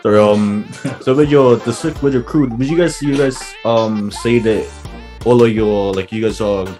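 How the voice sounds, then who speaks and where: Casting: casual male radio host, hesitant friendly questions, clear music bed underneath. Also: lowish voice, unhurried. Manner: relaxed, hesitant questions with fillers. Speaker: radio interviewer.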